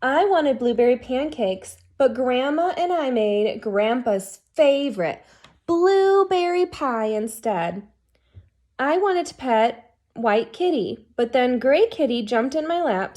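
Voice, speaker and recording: A woman reading a children's picture book aloud expressively, as a teacher who pauses to put questions to young listeners. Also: a little high, lively intonation, a little mellow.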